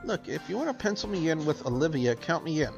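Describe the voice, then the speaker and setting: A man's voice: a little high, very animated, quick pace, creaky, lo-fi sound, over music. A man voicing a blunt, slightly awkward young male protagonist of a visual novel, dry and direct. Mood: dry, frank.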